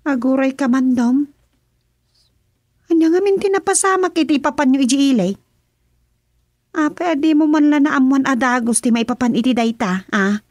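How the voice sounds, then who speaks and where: An actress playing a worried wife who keeps asking anxious questions in a radio drama. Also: female voice, sits high, normal speed, long pauses, a little mellow, no room sound, studio quality, natural voice. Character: worried wife named Rosa, fretting over family.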